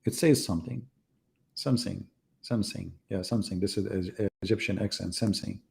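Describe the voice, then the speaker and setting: Low, gravelly, sarcastic man. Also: fairly quick, a little mellow. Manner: sarcastic, teasing, rhetorical questions. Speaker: host of live religious debate. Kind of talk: mocking casual live commentary.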